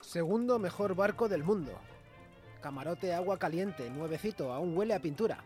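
A cheerful male passenger dictates in clipped telegram phrases. His voice is high, with faint music and typing behind it in a radio drama. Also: lively intonation, normal speed, darkish tone. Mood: pleased.

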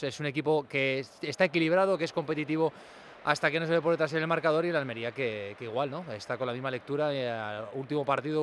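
Casting: quick-speaking male football reporter, Spain accent, analytical, repeats himself, faint ambient noise behind. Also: mid-range voice, fairly bright tone. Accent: Spanish (Spain). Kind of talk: live match preview commentary.

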